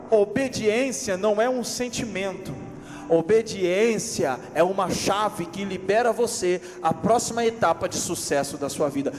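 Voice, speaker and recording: A man from Rio de Janeiro with a Carioca accent, preaching a lively sermon to his congregation, acting out everyday scenes and asking for amens. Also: high-pitched, big-room sound. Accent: Carioca Brazilian Portuguese. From Rio de Janeiro, Brazil. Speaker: pastor. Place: church hall.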